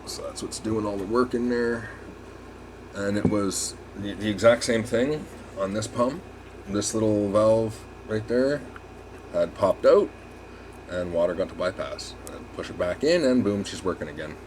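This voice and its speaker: A man's voice: on the low side, unhurried, darkish tone, a little hiss or hum, low-quality recording. A man giving a casual hands-on repair demonstration, rambling and thinking aloud as he works. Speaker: RV repair technician presenting a tutorial.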